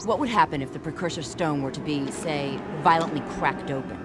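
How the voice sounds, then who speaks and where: A woman's voice: sits low, brisk, over loud music, natural voice. A woman playing a stern, suspicious military commander in a video game, clipped and commanding. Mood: probing.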